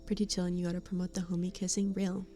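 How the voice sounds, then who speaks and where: A woman's voice: lowish voice, level tone, quick pace, mellow, faint music behind. A woman streamer chatting casually with her live chat, giggling often and sometimes dropping to a whisper. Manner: giggly, laughs often between remarks. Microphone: studio condenser mic.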